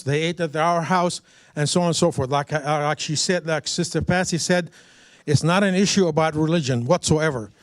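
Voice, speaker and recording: Older guy w iraqi accent giving public comment, halting n indignant, repeats words, highish voice, dry sound. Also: dry recording. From Iraq. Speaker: resident commenting at a council meeting. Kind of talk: impromptu public comment speech.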